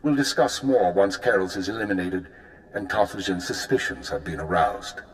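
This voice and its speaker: An actor playing a calm spymaster handler briefing an agent in a video game, with a measured, controlled delivery. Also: male voice, deep voice, a little hiss or hum, close to an everyday voice. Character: calm male spymaster handler giving orders. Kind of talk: video game cut-scene acting. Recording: roomy echo.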